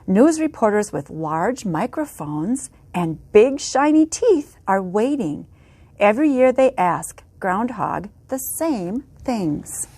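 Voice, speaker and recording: A woman librarian reading picture books aloud for children's story time, animated, with whispered asides. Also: mid-range voice, takes it slowly, husky, no echo.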